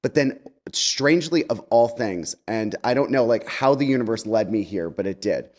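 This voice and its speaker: A man's voice: lowish voice, expressive, moderate pace, a little mellow. A man giving a conversational message to a congregation as a guest speaker, chatty and wry, with campy slang.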